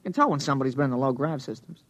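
An actor playing a sly young hotel bellhop with a husky voice and slangy, furtive talk, in 1950s radio drama style. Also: male voice, mid-range voice, rapid-fire, close to an everyday voice. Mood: sly, chummy. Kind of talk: 1950s science fiction radio acting. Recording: dull vintage radio recording.

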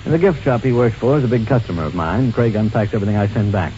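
A muffled, faintly hissy vintage radio recording carries an actor as a calculating male smuggler. He is self-assured and smooth in manner, with a widely swinging, animated delivery in classic radio drama style. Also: on the low side, quick pace, lightly acted. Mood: smugly confident. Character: calculating, cold-blooded male art dealer and diamond smuggler. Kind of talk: 1940s radio drama acting.

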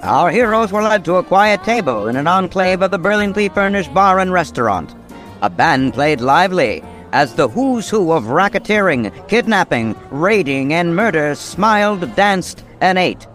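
A man's voice: sits high, big pitch swings, normal speed, a bit bright, soft background music. A man delivering scripted, dramatic narration for a radio drama.

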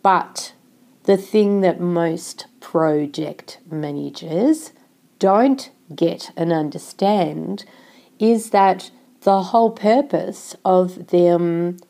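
A woman host sounding nasal from a cold, with a low, mellow, gravelly voice. She explains things slowly and steadily in a measured way. Her delivery is unhurried throughout. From Australia. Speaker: podcast host. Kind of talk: solo podcast talk.

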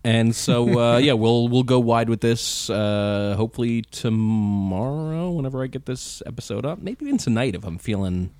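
A man's voice: mid-range voice, normal speed, few pauses, darkish tone, no echo. Laid-back swearing podcast host man. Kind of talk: casual chat.

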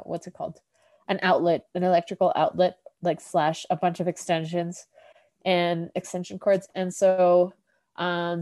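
A woman's voice: on the low side, dark tone. A woman podcast host casually recapping a TV episode, rambling with lots of "like" fillers. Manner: rambling, heavy use of "like", laughs often.

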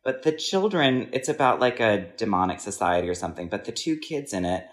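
A man's voice: fairly low pitch, expressive, brisk. A middle-aged man hosting a casual chat, telling comic stories in a playful, theatrical way and acting out what people say. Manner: playful, theatrical, acts out quotes. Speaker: podcast host.

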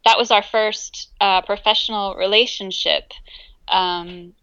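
A woman's voice: medium pitch, steady tone, a little slow, sharp tone, highs cut off, a little hiss or hum. A woman musician being interviewed in a casual chat, speaking hesitantly with many ums and easy laughter. Her first language is Greek. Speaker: musician guest.

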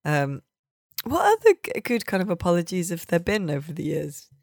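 A woman's voice: on the low side, animated, moderate pace, darkish tone, dry recording. Female podcast host. Informal, discursive conversational delivery, recorded dry with no room sound. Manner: informal, discursive, peppered with "like" and "you know". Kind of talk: casual chat.

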